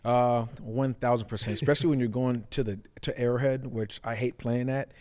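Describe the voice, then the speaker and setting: A man's voice: mid-range voice, steady tone, a little fast, a little mellow. A man working as a sports analyst, talking casually in slangy language and often repeating his phrases. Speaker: former player turned sports show analyst. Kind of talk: casual sports show discussion.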